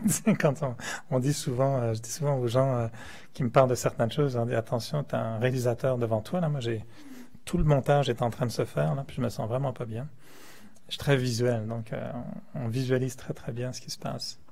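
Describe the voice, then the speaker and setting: A man from France leads a meditation class, his voice gravelly with a touch of fry and pitched in an ordinary middle range. He teaches in a light, joking way and often breaks into a chuckle while he makes his points. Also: fairly even intonation, brisk, a little mellow. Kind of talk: spiritual talk to a class.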